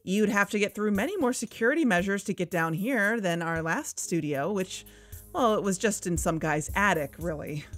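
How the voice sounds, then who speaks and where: Wry, earnest female podcast investigator played by a woman, mid-pitched, confiding narration, light music underneath. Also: moderate pace, fairly bright tone, natural voice. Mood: wry, confident. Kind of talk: conversational scripted audio drama narration. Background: background music.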